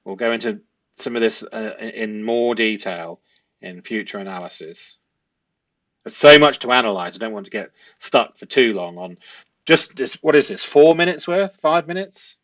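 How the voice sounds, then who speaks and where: A man giving analytical commentary to viewers as a host, speaking emphatically and deliberately, often with rhetorical tag questions. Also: on the low side, lively intonation, a bit bright, muffled recording. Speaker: host analysing a police interview.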